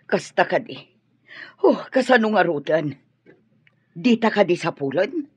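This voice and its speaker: A woman playing an anxious, fretful wife in an audio drama, with a husky voice. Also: lowish voice, sing-song, unhurried, exaggerated voice. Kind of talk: Ilocano audio drama acting.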